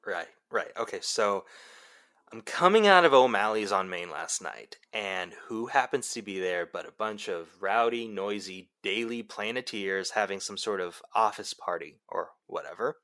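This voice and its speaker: Guy acting as excitable young college radio dj, really bright forceful voice, dramatic pauses, bantering and insistent. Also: lowish voice. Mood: building suspense. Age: young adult. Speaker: radio show host.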